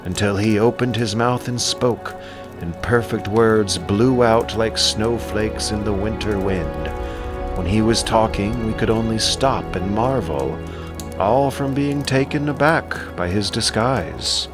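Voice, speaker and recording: Guy doing wise old court advisor, measured storyteller, audio drama with music behind. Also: lowish voice, lightly acted. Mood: measured, reflective. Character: wise royal counselor, measured elder statesman recalling envoys.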